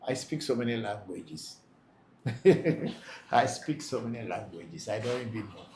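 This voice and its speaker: Man, spiritual leader hosting a live Q&A, gravelly mid-pitched voice, blunt and repetitive, echoey room. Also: unhurried. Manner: plain-spoken, repeats himself for emphasis. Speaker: Vodu priest hosting a live Q&A. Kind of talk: live Q&A, reading out and answering questions. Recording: echoey room, faint background noise.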